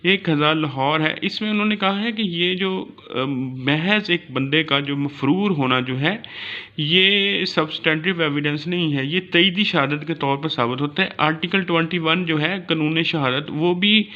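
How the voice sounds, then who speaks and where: A Pakistani man with a sharp, forceful voice pitched a little high teaches in a didactic way. He explains step by step like a law lecturer, dropping in English terms. The recording is dull and lo-fi. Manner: didactic, reads citations slowly then explains.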